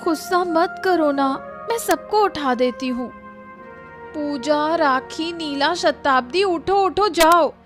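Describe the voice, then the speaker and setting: A woman playing a young, dutiful eldest granddaughter in an animated story, soothing and coaxing. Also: sits high, takes it slowly, faint music behind. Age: young adult. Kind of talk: Hindi animated story dialogue.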